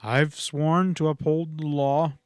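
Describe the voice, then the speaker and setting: A man's voice: a little high, flat delivery, slow pace, clean tone, no echo. Man playing a dogged, terse frontier lawman, dry sound. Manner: terse, dogged, plain-spoken.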